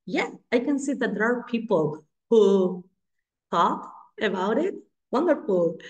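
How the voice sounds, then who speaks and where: A woman in her forties from Spain, speaking English with a Spanish accent, presenting her language school on a video call in a halting, friendly way with frequent restarts. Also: medium pitch, a little mellow, low-quality recording. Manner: halting, repeats herself, friendly and chatty. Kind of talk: online presentation.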